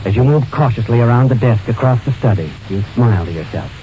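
An actor playing a knowing, taunting suspense narrator in an old radio drama, speaking deliberately in the second person. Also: male voice, mid-range voice, animated, noisy background, lightly acted. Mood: sly, suspenseful. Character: knowing, insinuating male storyteller addressing the culprit. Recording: dull old radio recording with music.

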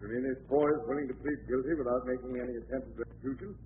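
A man's voice: medium pitch, steady tone, rapid-fire, narrow, tinny audio, natural voice. Man playing a stern judge, noisy old radio. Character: stern, formal male courtroom judge. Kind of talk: 1940s radio acting.

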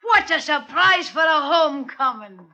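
A man's voice: extremely high pitch, expressive, normal speed, creaky, sharp tone, heavily acted. An actor in a 1950s radio drama playing a scheming sailor who goads his partner with wheedling urgency. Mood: urgent, goading. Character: scheming, goading murderous male sailor sidekick, Dick.

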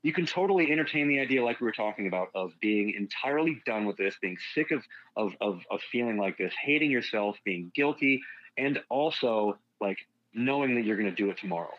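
A man's voice: on the low side, animated, brisk, no room sound, highs cut off. A man talking as a fitness guest on a casual podcast, frank and rambling, swearing freely.

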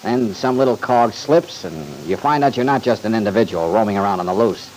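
An actor playing an easygoing, thoughtful young man in an old-time radio soap opera, folksy, earnest and gently teasing. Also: on the low side, a little fast, lightly acted, rough recording. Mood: reflective. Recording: hissy vintage radio recording.

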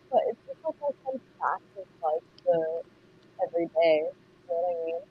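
Chatty, expressive young woman. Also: on the low side, poor audio. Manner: chatty, expressive, quick to laugh, lots of fillers. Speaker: podcast guest. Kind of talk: casual chat.

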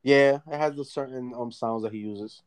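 A man talks in an easygoing, unhurried way, his pitch sitting right in the middle of the range. He cusses freely and often loses his thread, trailing off mid-thought before circling back to his point. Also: clear, resonant tone. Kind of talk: casual chat.

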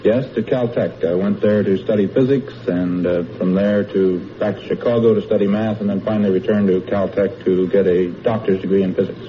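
A man's voice: low-pitched, steady tone, a little fast, hardly any pauses. A man from Ohio, a quiz show contestant, giving easygoing, good-humored answers. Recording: dull old radio broadcast with hiss.

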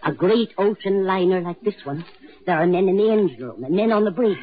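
Desperate, hysterical killer, high-pitched. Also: male voice, expressive, a little fast. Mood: anxiously insistent. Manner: melodramatic, desperate, swinging from menace to hysteria. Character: guilt-ridden, bullying murderer cracking with fear. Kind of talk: 1940s radio horror acting. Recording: tinny, band-limited 1940s radio recording.

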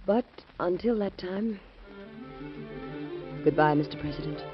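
An actress playing a devoted, emotional young woman in a 1940s radio drama, speaking softly and earnestly. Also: on the low side, unhurried, over music, natural voice. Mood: tender. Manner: soft, earnest, hesitant. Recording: muffled vintage radio broadcast.

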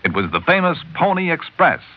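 A man reading scripted historical narration as an old-time radio announcer, in a formal, measured manner. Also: on the high side, big pitch swings, no room sound. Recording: dull vintage radio recording.

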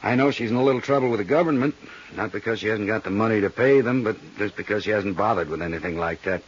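A man playing a big, easygoing American accountant in his late forties in a 1950s radio drama, husky and chatty, with a folksy, rambling manner. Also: lowish voice, fast talker. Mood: chatty, explaining. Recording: dull, muffled 1950s radio recording.

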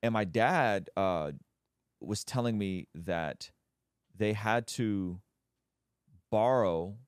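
Deep-voiced guy telling stories on a podcast, lots of restarts, dry sound. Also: drawn-out words, clean tone, no room sound. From Azerbaijan, Armenian family. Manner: animated storyteller, many restarts. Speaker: podcast host. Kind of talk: casual conversation.

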